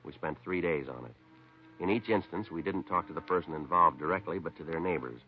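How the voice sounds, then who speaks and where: Very deep, clipped police detective played by an actor. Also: male voice, a little fast, faint music behind, close to an everyday voice. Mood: businesslike. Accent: American. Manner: terse, flat, matter-of-fact. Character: stoic, polite, businesslike male police detective. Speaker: narrator and lead actor. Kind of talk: 1950s radio police drama, clipped narration. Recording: dull 1950s radio broadcast recording.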